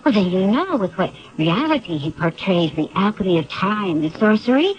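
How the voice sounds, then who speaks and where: A woman's voice: mid-range voice, animated, moderate pace, natural voice. An actress playing an anxious, loyal woman confidante in a 1970s radio drama, speaking earnestly and dramatically. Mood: uneasy. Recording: dull lo-fi 1970s radio broadcast.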